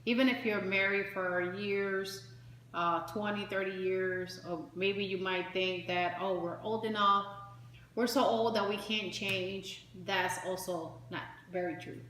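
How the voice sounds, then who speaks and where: A woman's voice: mid-range voice, steady tone, unhurried, fairly bright tone. A woman co-hosting a talk show, chatting casually and offering advice. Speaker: co-host of a marriage advice show. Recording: roomy echo with faint background noise.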